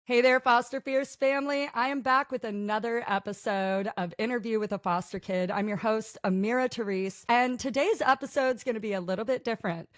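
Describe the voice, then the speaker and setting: A woman's voice: on the high side, fairly quick, hardly any pauses, dry recording, clean studio sound. Upbeat woman hosting a podcast interview. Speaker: podcast host.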